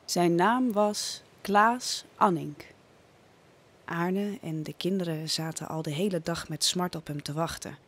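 A woman's voice: fairly low pitch, slightly rough. A woman narrating a scripted audio drama as a dramatic storyteller.

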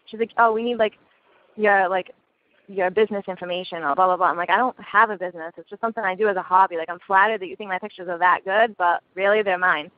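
A young woman telling a story in casual chat on a phone call, chatty and quick to swear. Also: lowish voice, steady tone. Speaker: caller. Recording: dry tinny phone line.

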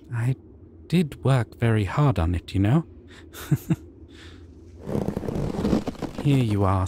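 A man voicing a shy, caring male dragon in an ASMR roleplay, talking softly and reassuringly. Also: medium pitch, a little hiss or hum. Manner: soft, reassuring, slow and hesitant. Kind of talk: ASMR roleplay audio drama.

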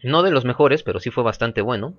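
A man's voice: mid-range voice, fairly quick, no echo, lo-fi sound, soft background music. A man with a sore throat, casually commentating his own gameplay and often repeating phrases. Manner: relaxed, repeats phrases, chatty.

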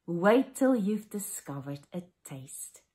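Lively South African woman minister. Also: on the low side, slow. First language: Afrikaans. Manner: animated storytelling to children, asking questions. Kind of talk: children's talk in a family service. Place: living room at home.

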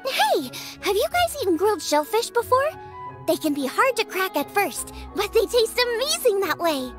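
A woman's voice: very high voice, big pitch swings, moderate pace, fairly bright tone, heavily acted. A woman voicing a cheerful, friendly teenage girl cook in an anime-style video game, upbeat and casual. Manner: upbeat, casual, food-minded. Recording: music under the voice. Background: game music.

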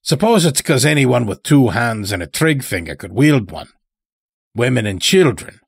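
A man's voice: medium pitch, sing-song, normal speed, vocal fry, slightly rough, dry recording, studio quality, full character voice. A man voicing a folksy, taunting old elder in an audio story, chatty and needling with rustic phrasing. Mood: musing, needling. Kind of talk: dramatic audio story character.

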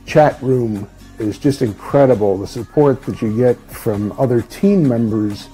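Older man, warm dark enthusiastic voice. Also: medium pitch, a little slow, slightly rough, faint music behind, poor audio. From Arizona. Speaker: student, retired engineer. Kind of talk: testimonial interview.

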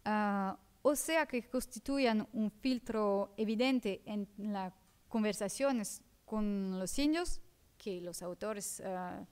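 A woman with a Portuguese accent reading a conference paper in a seminar room, careful and halting, often correcting herself. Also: medium pitch, a little mellow, smooth. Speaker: academic speaker.

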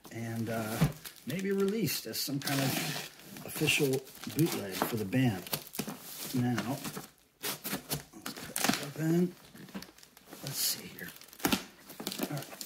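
A middle-aged man with an American accent chats informally to camera in a home room. His voice sits at an average male pitch, with a folksy, casual unboxing-vlog delivery. There is clear noise behind him, along with small clicks. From United States. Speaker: vlogger, record collector and fan site manager.

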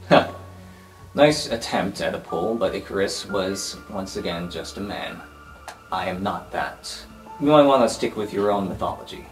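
A man voicing an arrogant, domineering history teacher supervillain in a superhero roleplay, speaking in a haughty, measured, menacing way. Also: sits low, expressive, faint music behind, low-quality recording. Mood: condescending. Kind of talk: improvised superhero roleplay podcast.

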